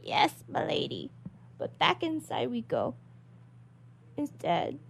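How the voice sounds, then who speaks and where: A tiny, high-pitched female attendant, voiced by a woman reader, scolds in exasperation with wildly swinging intonation. It is a storybook character with a creaky edge, over a faint hum. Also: huge pitch swings, slow, full character voice. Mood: insistent.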